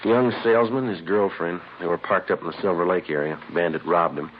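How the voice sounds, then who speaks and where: On a muffled, hissy old radio recording, an actor plays a weary male police sergeant. He speaks low and quickly in terse, deadpan phrases, like a procedural report in a classic crime serial. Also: lightly acted. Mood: grim, matter-of-fact. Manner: deadpan, matter-of-fact. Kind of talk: 1950s radio drama acting, clipped procedural narration.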